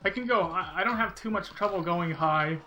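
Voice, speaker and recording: A man's voice: high voice, brisk, bright, forceful tone, slight background noise, low-quality recording. A man streaming a video game, chatting casually with viewers and thinking aloud in a rambling, unsure way. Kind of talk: casual live-stream chat while playing.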